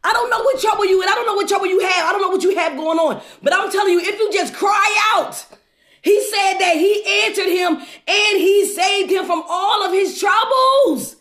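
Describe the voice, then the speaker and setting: A woman's voice: very high voice, moderate pace, fairly bright tone. A woman preaching a devotional, exuberant and exhorting, leaning on repeated phrases and rhetorical questions. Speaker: preacher leading a livestream devotional. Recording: echoey, reverberant room.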